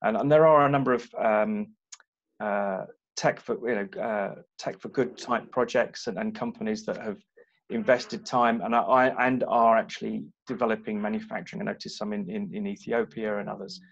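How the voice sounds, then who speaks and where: A man's voice: on the low side, steady tone, moderate pace, gravelly. A man hosting a panel discussion, who talks hesitantly with many ums and repeated words. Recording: muffled online call audio.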